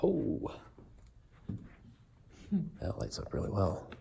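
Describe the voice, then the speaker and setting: A man's voice: low voice, moderate pace. A man hosting a paranormal investigation on location, talking casually and calling out into the room. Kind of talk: casual narration and calling out to spirits during a live investigation. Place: indoors in an old house. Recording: very echoey room, distant mic, faint noise.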